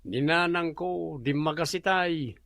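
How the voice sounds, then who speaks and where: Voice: mid-range voice, unhurried, fairly bright tone, no room sound, studio quality. A performer playing a lazy, defensive unemployed man in a radio drama, with a sulky way of talking. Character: lazy unemployed man who watches TV all day.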